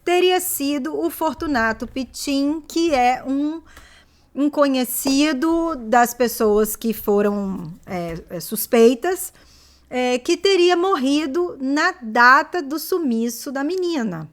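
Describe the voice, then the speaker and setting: A woman hosts a relaxed book discussion in a fairly high voice. She speaks thoughtfully, hesitating and filling pauses as she hunts for the right phrase. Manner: hesitant, searching for words with fillers. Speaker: host. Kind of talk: casual book review discussion.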